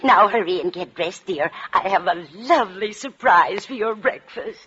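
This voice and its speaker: A woman's voice: medium pitch, moderate pace, pushed and bright, breathy edge. An actress playing a fussy, motherly, scatterbrained landlady in a 1940s radio comedy. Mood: cheerful. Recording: dull, noisy vintage radio recording.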